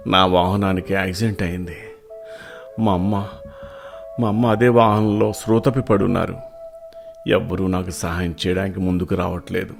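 A man playing a wealthy, kindly gentleman in an animated moral story, speaking politely and with measured care. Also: low voice, gravelly. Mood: urgent appeal.

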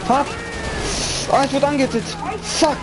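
A man's voice: extremely high pitch, steady tone, moderate pace, very noisy. A young man hosting a game stream, chatting casually with friends in slangy, sweary language. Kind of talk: casual gaming chat with friends. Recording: game music behind the voice.

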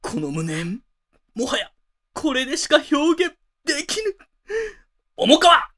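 A man's voice: very high voice, sing-song, takes it slowly, sharp tone, heavily acted. A man playing a pompous, villainous school club president obsessed with masks, grandiose and theatrical, in an amateur voice drama. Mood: anguished, melodramatic.

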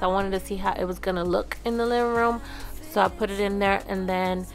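A woman's voice: medium pitch, vocal fry. Chatty woman narrating over music. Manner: chatty, informal, addresses viewers as y'all. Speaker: family vlogger, host. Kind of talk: casual voice-over narration of cleaning.

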